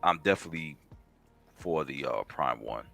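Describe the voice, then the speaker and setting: A man with a very low, deep voice rambles enthusiastically through a casual live-stream chat about collectible statues. He leans on fillers and trails off mid-thought. Faint music plays underneath. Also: animated, normal speed, gravelly, a bit bright.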